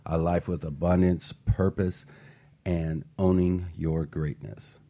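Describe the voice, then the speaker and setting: A man's voice: sits low, fairly even intonation, takes it slowly, warm tone. A man co-hosting a radio show in a studio, speaking in an emphatic, motivational way with upbeat scripted lines and banter.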